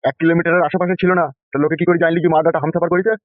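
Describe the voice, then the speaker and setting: Man acting a fast-talking blustering crooked politician. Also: on the high side, level tone, no room sound, phone-quality sound, close to an everyday voice. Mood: suspicious. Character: corrupt, lecherous, blustering male politician and gang boss. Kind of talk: animated comedy cartoon dialogue.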